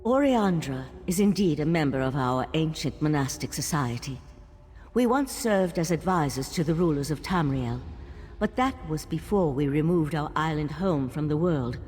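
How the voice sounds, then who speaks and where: A woman voicing an earnest female elf mage of an ancient monastic order, explaining calmly. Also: deep voice, normal speed, in a large hall, a little hiss or hum.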